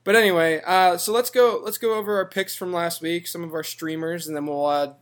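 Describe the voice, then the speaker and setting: A man co-hosting a casual sports podcast, his voice raspy and hoarse from yelling, talking upbeat with loud openings. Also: high-pitched, steady tone, fairly quick. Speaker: podcast co-host.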